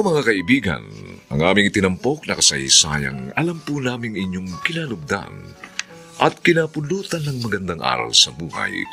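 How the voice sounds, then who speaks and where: Stately Filipino male radio drama host, low voice with hugely animated delivery, formal scripted read over faint music. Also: creaky.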